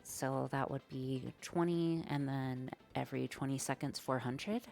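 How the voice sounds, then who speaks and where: A woman's voice: extremely low pitch, fairly even intonation, a little slow, vocal fry, darkish tone, faint music behind. A woman streaming live, thinking aloud as she writes code and chats with viewers in a slangy, casual way. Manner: thinks aloud while coding, slangy and chatty. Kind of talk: live-stream coding chat.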